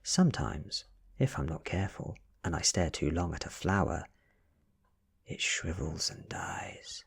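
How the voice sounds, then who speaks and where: Soft, husky British man reading low and slow. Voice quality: soft, breathy, often near whisper. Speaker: ASMR reader. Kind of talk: soft-spoken ASMR audiobook reading.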